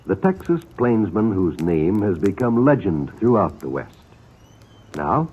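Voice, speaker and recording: Male announcer, American accent, 1950s radio style, scripted, highly animated intonation, narrow tinny sound. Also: husky. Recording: tinny, narrow-band old radio recording.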